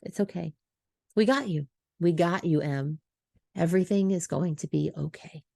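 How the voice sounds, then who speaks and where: A woman voicing a concerned, wry best friend in an audio story, soft but assertive. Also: mid-range voice, big pitch swings, a little slow, no room sound, studio quality, voice pushed up. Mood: reassuring.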